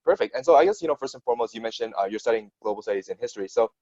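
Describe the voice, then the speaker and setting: A man's voice: medium pitch, animated, rapid-fire, darkish tone, no echo. A man in his twenties hosting a casual interview over a video call on earphones, with dull lo-fi sound. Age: 20s. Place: small room, indoors. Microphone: wired earphones on video call.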